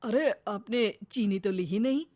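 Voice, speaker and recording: A woman voicing an eager, flirty middle-aged father in a cartoon story, quick to get defensive. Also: mid-range voice, darkish tone, natural voice. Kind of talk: cartoon voice acting.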